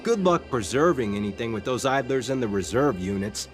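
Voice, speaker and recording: A man's voice: medium pitch, animated, fairly quick, faint music behind, lightly acted. A man playing a proud, dutiful guard soldier in a video game. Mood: scornful, proud. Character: dutiful male guard soldier, scornful of idlers.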